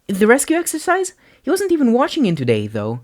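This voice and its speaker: A man voicing a kind, frank teenage girl with an earnest, deliberate way of talking in an audio story. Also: very high-pitched, wildly swooping pitch, a little mellow, pitched above the performer's own voice, exaggerated voice. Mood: puzzled.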